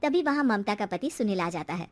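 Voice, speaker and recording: A woman's voice: mid-range voice, lively intonation, fairly quick. A woman narrator reading a scripted story.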